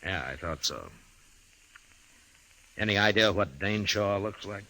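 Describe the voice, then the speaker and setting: An actor playing a steady, laconic frontier marshal with dry, skeptical understatement, in the style of an old-time radio western. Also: male voice, sits low, unhurried, exaggerated voice, poor audio. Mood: wry. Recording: hissy old radio recording.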